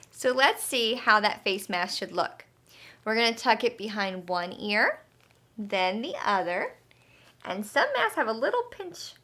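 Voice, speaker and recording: A woman with a bright, fairly high voice leads storytime for young children. She takes her time, explaining things simply and asking encouraging questions in an expressive teacher's manner. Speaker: children's health educator and storyteller. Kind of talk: children's lesson and read-aloud storytime.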